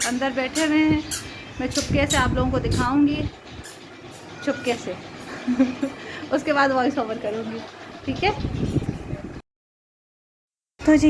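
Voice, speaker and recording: Chatty young Pakistani woman vlogger, Pakistani Urdu accent, higher voice, noisy home behind her. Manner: chatty, laughs often, many fillers. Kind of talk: casual vlog voice-over.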